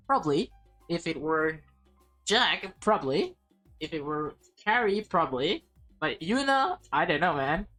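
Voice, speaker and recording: A woman with a lowish voice reacts live to a game in an excitable, animated way. Music plays clearly behind her as she talks to her audience like a streamer. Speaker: streamer playing a visual novel.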